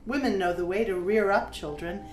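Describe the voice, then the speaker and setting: An actress plays a grown woman poet reflectively recalling her childhood, reciting verse with measured, deliberate phrasing in an audio drama. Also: medium pitch, darkish tone, lightly acted. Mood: reflective, tender. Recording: roomy, echoing, music underneath. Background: musical instrument playing.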